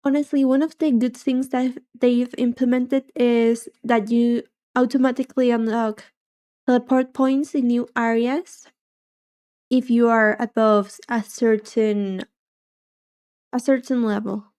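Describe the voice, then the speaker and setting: Soft, mellow-voiced woman streamer; relaxed, rambling gameplay chat. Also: fairly high pitch, a little slow, clear, resonant tone. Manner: soft-spoken, rambling and chatty. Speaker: VTuber streamer. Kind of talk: casual chat while gaming.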